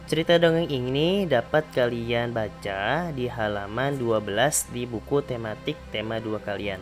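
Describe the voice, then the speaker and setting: A male primary school teacher reading a children's fable aloud in a calm, clear voice for a remote class. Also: mid-range voice, a little slow, over music. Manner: calm, clear storybook narration.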